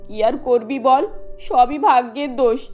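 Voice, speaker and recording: A woman's voice: high voice, unhurried, a little mellow, dull audio, faint music behind. A woman voicing a pious, sorrowful middle-aged village mother in a cartoon story, consoling. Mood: soothing, sorrowful.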